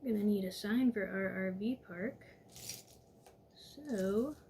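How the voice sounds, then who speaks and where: A woman walking viewers step by step through a toy building set in a calm, instructive way, a little hoarse from losing her voice. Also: mid-range voice, takes it slowly, a little hiss or hum.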